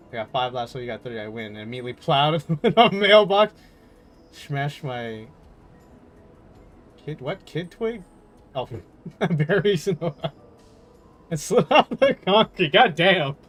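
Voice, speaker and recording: A man livestreaming a game and chatting casually with viewers in a jokey, animated storytelling manner. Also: a little high. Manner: animated storytelling, jokey, swearing, laughs often. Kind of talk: casual chat with viewers while gaming. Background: game music.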